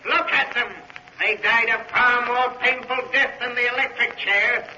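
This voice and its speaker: A man's voice: very high-pitched, fairly even intonation, measured pace, bright, forceful tone, dull audio, heavily acted. An actor playing a vengeful, wealthy old man in a 1940s radio thriller, speaking formally and with precise, sardonic menace. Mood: stern accusing. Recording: noisy, echoey 1940s radio recording.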